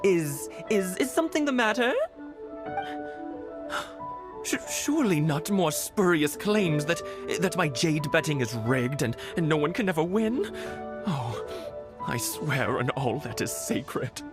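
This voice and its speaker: A man's voice: on the high side, animated, slow, over music. A man playing a nervous, servile male gem shop boss in a game, easily flustered. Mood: flustered, alarmed. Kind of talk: game character dialogue.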